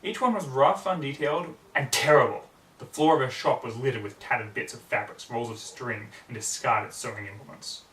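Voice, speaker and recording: A young man narrates a story with earnest drama. His voice is bright and mid-pitched. It comes from a little way off in a small, echoing room. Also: brisk. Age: young adult. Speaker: story reader. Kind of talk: dramatic fan fiction narration. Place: small home room with computer desk. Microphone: distant camera microphone. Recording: roomy, distant mic, background noise.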